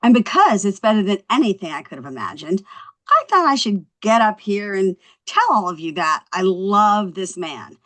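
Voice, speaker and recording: An actress playing a brash, quick-witted young wife in a scripted comedy table read. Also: female voice, medium pitch, sing-song, fairly bright tone. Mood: proud, speechmaking.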